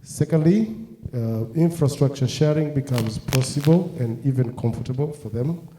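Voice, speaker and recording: A man with a very dark, mellow voice gives a steady, explanatory answer on a conference panel. The sound echoes around a big hall. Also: mid-range voice, moderate pace, a little hiss or hum.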